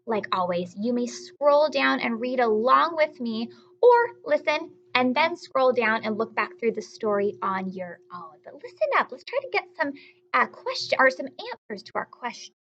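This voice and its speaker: A woman teacher reading aloud and explaining simply to young children, often putting questions to the listener with emphatic, drawn-out storytelling delivery. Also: on the high side, sing-song, a bit bright, lo-fi sound.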